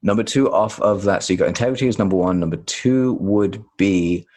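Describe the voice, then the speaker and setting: A man from Tanzania, talking as a podcast guest in a relaxed, rambling way that often corrects itself. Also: lowish voice, brisk. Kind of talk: podcast interview conversation.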